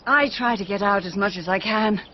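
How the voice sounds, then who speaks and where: A woman's voice: mid-range voice, fairly quick, dull audio, slight background noise, lightly acted. An actress playing an elegant, restless married lady in a period radio drama, with a genteel upper-class British accent. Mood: confiding. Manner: genteel, expressive.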